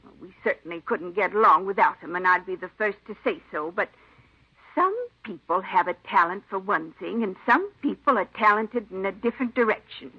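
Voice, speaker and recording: An actress playing a folksy, forgetful, kindly elderly aunt who rambles in an old radio drama. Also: female voice, medium pitch, brisk, husky, close to an everyday voice. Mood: flustered, chattering. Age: older. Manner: rambling, folksy rural phrasing. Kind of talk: 1950s radio drama acting. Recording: dull old radio recording.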